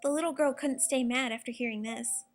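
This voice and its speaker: High-pitched woman narrating a children's storybook. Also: steady tone, brisk. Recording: music behind the narration. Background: music.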